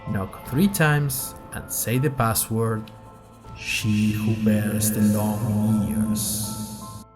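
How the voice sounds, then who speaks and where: A man playing a persuasive secret-brotherhood recruiter in a parody advertisement, mock-grave like a pitchman. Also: flat delivery, drawn-out words, a little mellow, soft background music. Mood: persuasive, mock-solemn.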